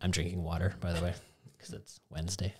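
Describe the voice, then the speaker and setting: A man hosting a casual podcast, relaxed and quick to laugh, chatting with a guest. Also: low voice. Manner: laid-back, laughs often, short agreeing replies. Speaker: podcast host. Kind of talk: casual chat.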